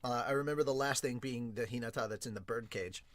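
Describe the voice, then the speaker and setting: A man hosting a podcast, reading manga aloud and reacting casually with excitable, sweary interjections. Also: mid-range voice, fast. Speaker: podcast host.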